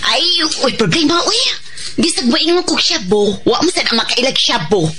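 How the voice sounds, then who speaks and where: A very high, excitable Bisaya schemer. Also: female voice, normal speed, heavy background noise. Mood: flustered. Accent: Filipino, Bisaya. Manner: exclamatory, swooping. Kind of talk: radio drama acting. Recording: loud music over the voice.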